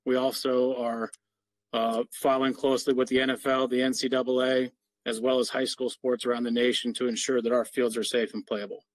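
A middle-aged man presents to a meeting over a video call in an explanatory, businesslike manner. His voice sits at an average male pitch. The sound is dry, with very little room around it. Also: level tone, brisk, dry recording. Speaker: parks maintenance official presenting. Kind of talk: slide presentation to a board meeting.

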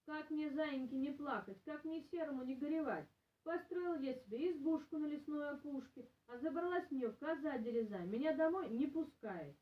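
Woman as plaintive little hare, high-pitched. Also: moderate pace, lo-fi sound, natural voice. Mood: sorrowful. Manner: plaintive, lamenting. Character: small tearful grey hare, helpless and sorrowful. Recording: roomy, distant camera microphone.

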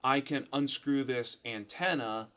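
A man's voice: medium pitch, measured pace, sharp tone. American man explaining things casually. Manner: plain-spoken, instructive. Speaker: host of an emergency radio how-to channel. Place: small furnished room at home, indoors.

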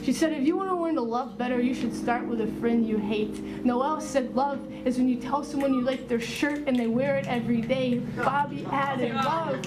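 High-voiced young woman performing spoken word. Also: moderate pace, few pauses, a loud crowd. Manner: rhythmic, emphatic poetic delivery. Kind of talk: spoken word poetry performance mixed with interview. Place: small club stage under red lights. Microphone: stage mic on stand. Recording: roomy live sound from a distance, noisy.

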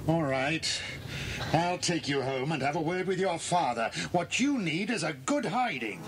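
An outraged man in a children's cassette drama. His voice is high and very animated, scolding and accusing, with clear noise on the old recording. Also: unhurried. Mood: stern, scolding. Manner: scolding, indignant. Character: angry, accusing man seizing a boy in the dark. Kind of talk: children's audio drama acting.

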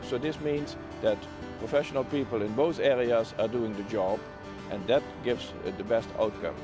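A man in his sixties, formally presenting a medical society outdoors in front of a convention center, measured and a little hesitant. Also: mid-range voice, steady tone. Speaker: society chairman presenting the organization. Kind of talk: scripted promotional address. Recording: speech over background music. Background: background music.

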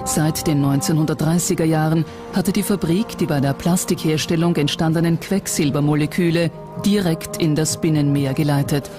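Serious doc narrator, mid pitched voice, reading scripted stuff, clear music underneath. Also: fairly even intonation, normal speed, hardly any pauses. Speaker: documentary narrator.

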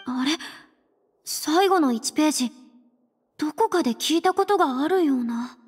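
A woman's voice: sits high, expressive, normal speed, long reverb tail, slight background noise. A woman voicing a proud, theatrical young woman with self-assured phrasing in an anime game. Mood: solemn. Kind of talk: Japanese anime game voice acting.

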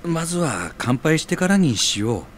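With slight noise in the background, a man plays a friendly senior office colleague in a radio drama. His fairly high voice is expressive, and he comes across as gentle and considerate. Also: normal speed. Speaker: voice actor in an audio drama. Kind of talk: audio drama dialogue.

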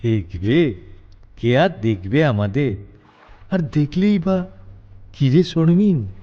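A man voicing a tender, devoted young village lover, soft and consoling, in an audio drama. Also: a little high, lively intonation, slow, highs cut off, voice pushed up. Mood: playful. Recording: music behind the voice.

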